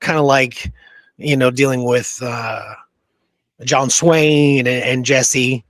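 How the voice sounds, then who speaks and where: A man's voice: medium pitch, steady tone, slow pace, a bit bright, no room sound. A man co-hosting a history podcast, talking through the subject in a casual, conversational way. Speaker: podcast co-host.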